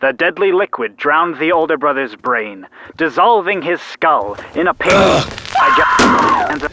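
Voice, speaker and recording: A man's voice: fairly high pitch, wildly swooping pitch, a little slow, heavy background noise. A man acting an anxious young radio narrator and peacemaker in an audio drama, earnest and dramatic. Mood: dramatic, narrating.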